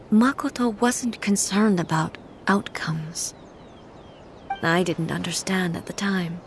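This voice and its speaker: A woman playing a solemn, regal shogun in a video game, speaking in a formal, measured, reflective way. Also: medium pitch, a little hiss or hum, lightly acted. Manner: formal, measured, philosophical delivery.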